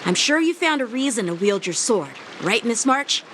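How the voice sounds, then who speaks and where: A polite, principled female mentor, speaks in a slightly high, expressive voice. Her manner is composed and instructive, in anime game style. Clear background ambience sits behind her. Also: moderate pace, background noise. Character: gentle, principled woman sword mentor. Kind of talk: English anime game voice acting.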